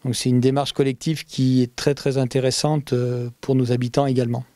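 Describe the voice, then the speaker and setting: A man speaking as a local community council president in an interview, measured with occasional fillers. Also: mid-range voice, little pitch movement, warm tone, no echo, clean studio sound. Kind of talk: interview statement.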